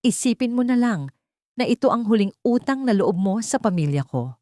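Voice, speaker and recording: Brief: female narrator as entitled husband, demanding and cold-hearted, expressive pitch, dry story recording. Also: moderate pace, dry recording, close to an everyday voice. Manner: bossy, demanding. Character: callous, entitled husband demanding favours by message.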